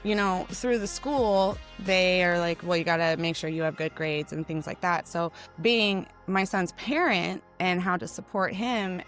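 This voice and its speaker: A middle-aged woman co-hosting a podcast in casual chat, loud and projecting, a bit hoarse from cheering, acting out quotes as she tells stories. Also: lowish voice, measured pace, hardly any pauses, faint music behind. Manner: animated storyteller, acts out quotes. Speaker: podcast co-host.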